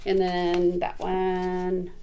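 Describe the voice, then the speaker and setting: A woman's voice: lowish voice, extremely slow, slight background noise. A middle-aged woman, a teacher, reading a picture book to children with chatty asides. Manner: animated, chatty, explains words to children.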